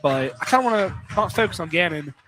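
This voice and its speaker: A man's voice: sits high, animated, fast talker, noisy background. A young American man doing casual live sports commentary on radio, informal, often repeating and correcting himself. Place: live courtside broadcast in a college field house.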